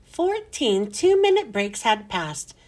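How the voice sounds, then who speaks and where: Woman reading a kids book out loud, high voice, super animated narration. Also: slow pace. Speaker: children's read-aloud host. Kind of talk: expressive storybook narration.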